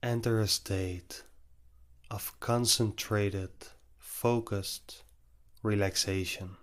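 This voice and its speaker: A male meditation guide speaks extremely slowly in a low register. His delivery is flat and steady, with short instructive phrases set apart by long pauses.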